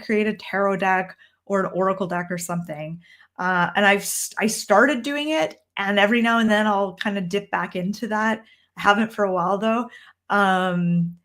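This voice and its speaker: Chatty Canadian woman on a livestream. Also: mid-range voice. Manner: chatty, thinks aloud with frequent ums and restarts. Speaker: tarot reader and livestream host. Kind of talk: casual livestream Q&A. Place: downstairs room at home.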